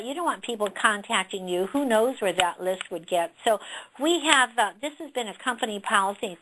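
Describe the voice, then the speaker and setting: A woman with a bright, forceful voice on the higher side hosts an informal question session. She talks in a chatty, rambling way, with some noise behind her. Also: low-quality recording. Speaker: company conference call host. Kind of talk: informal presentation, answering questions.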